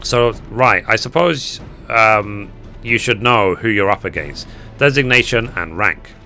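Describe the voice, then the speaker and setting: A man with a hoarse voice reading the lines of a gruff male military commander from a game. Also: fairly low pitch, measured pace, bright, forceful tone, soft background music. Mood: brisk.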